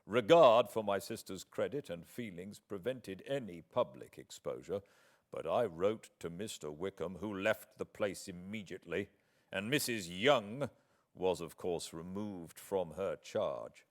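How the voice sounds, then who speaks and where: A British man reading a classic novel as a proud, wronged gentleman, with formal, measured, deliberate phrasing. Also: low voice. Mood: pained, restrained. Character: proud, wronged English gentleman writing a formal, earnest letter.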